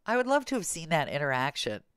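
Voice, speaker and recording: A woman's voice: low voice, sing-song, fast talker, slight background noise. A middle-aged woman podcast host telling humorous stories in casual chat, with a wry manner given to playful exaggeration.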